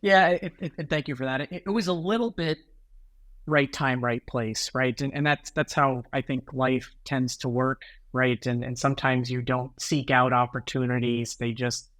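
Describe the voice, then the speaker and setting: A middle-aged American man answering interview questions in a relaxed, conversational way, often ending points with "right?". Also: mid-range voice, moderate pace, dry recording. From United States. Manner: conversational, repeats words and tags sentences with "right?". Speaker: guest, sales executive.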